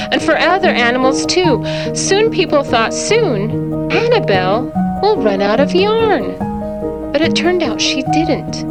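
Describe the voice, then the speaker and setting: A woman librarian reading a children's storybook aloud in simple phrasing meant for young listeners. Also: sits high. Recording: loud music behind the voice. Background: music.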